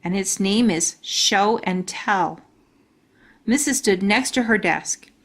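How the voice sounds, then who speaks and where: Slow-paced woman reading a children's story. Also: medium pitch, fairly bright tone. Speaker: storytime reader. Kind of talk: children's storybook read-aloud.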